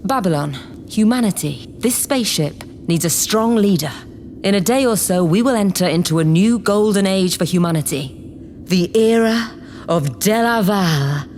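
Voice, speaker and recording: A woman's voice: mid-range voice, expressive, normal speed, a little mellow, natural voice. Actress doing a bossy scheming spaceship boss lady, sarcastic, really swoopy voice, bit of noise behind. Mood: smug, grandiose. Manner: commanding, condescending, sarcastic. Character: imperious, scheming woman acting leader of a spaceship. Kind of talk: scripted sci-fi comedy audio drama.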